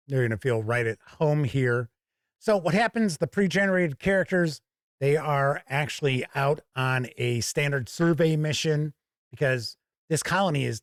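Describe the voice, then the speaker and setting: A man with a fairly high voice presents an unscripted review as the host. He explains in a measured, conversational manner. The sound is close and dry, with very little room to it. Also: dry recording. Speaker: board and roleplaying game reviewer, show host. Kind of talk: unscripted product review to camera.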